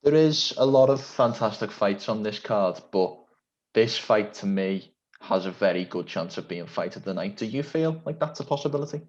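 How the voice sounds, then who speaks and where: A man's voice: lowish voice, animated, normal speed, darkish tone, muffled recording. A man hosting a video-call interview, asking questions in a polite, formal and complimentary way. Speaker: interview host.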